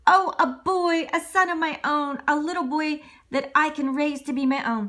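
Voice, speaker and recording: A woman's voice: high voice, fairly even intonation, normal speed, a bit bright. A woman voicing a kindly old male toymaker in a storybook, doting and wishful, with a tender, exclaiming manner. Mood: overjoyed.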